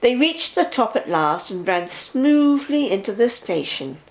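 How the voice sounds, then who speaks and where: An older woman, a grandmother, reading a children's story aloud with expressive narration. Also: on the high side, unhurried.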